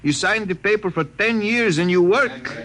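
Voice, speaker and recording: An actor playing a young, homesick Mexican labourer in halting, accented English, in 1950s radio drama style. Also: male voice, high-pitched, fairly bright tone. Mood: wistful. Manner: halting, simple broken English. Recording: noisy vintage 1950 radio recording.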